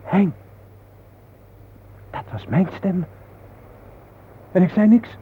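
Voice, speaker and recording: A man's voice: sits high, animated, drawn-out words, heavily acted. An actor playing an uneasy male visitor to old battlefields in a radio play, hesitant and full of questions. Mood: startled. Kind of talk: naturalistic radio play acting. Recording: noisy narrow-band old radio recording.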